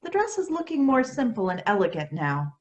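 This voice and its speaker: A woman's voice: fairly high pitch, huge pitch swings, fairly quick. A woman narrator reading scripted stage directions for a play.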